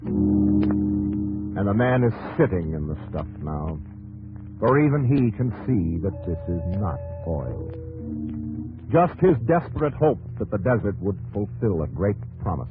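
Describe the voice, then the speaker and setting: Deep-ish guy narrating old 50s radio show, dramatic clipped phrases, big music behind him. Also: big pitch swings, unhurried, long pauses, phone-quality sound. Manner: theatrical, clipped phrases, emphatic. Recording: old 1950s radio broadcast. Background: loud dramatic music.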